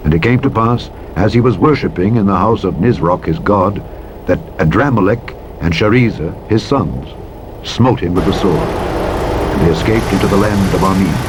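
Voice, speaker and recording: Male scripture narrator, lowish pitch, solemn and formal read, light truck noise underneath. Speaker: audiobook Bible narrator. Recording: vehicle engine noise behind the voice. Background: truck driving sounds from a simulator game.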